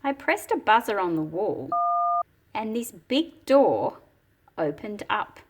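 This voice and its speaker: A woman reading a storybook aloud and explaining it as she goes, in an expressive, deliberate manner with a slightly husky, breathy quality. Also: medium pitch, slight background noise. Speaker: picture book read-aloud host. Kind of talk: storybook read-aloud with teaching commentary.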